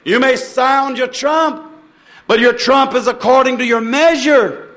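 Male preacher, extremely high voice. Impassioned sermon delivery, declamatory and repetitive, heavy on scripture quotation. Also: a little slow, fairly bright tone. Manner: declamatory, repetitive, emphatic phrasing.

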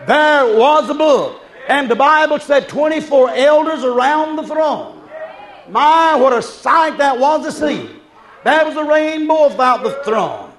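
Man preaching fervently in a very high-pitched shout. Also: animated, unhurried, low-quality recording. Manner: shouted exclamations with repeated refrains and calls to the congregation. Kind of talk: fervent Pentecostal-style revival sermon. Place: preaching live before a congregation. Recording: live congregation heard around the preacher. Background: congregation crowd.

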